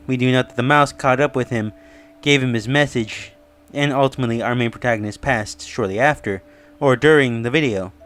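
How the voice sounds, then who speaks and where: A man's voice: medium pitch, a bit bright, clean tone. A man hosting an online review, musing conversationally through his opinions and theories with frequent asides. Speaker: online video reviewer and host. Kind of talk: casual review and theory commentary.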